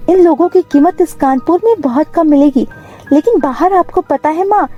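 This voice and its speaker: A woman's voice: extremely high pitch, mellow, highs cut off, pitched above the performer's own voice. A woman voicing an earnest, pleading schoolgirl daughter in a cartoon moral story.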